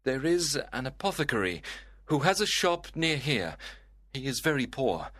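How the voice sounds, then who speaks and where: A man playing a desperate, grief-stricken young lover in a dramatised audiobook, speaking deliberately and dramatically. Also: medium pitch, big pitch swings, a bit bright. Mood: grimly resolved. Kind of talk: dramatised graded-reader audiobook.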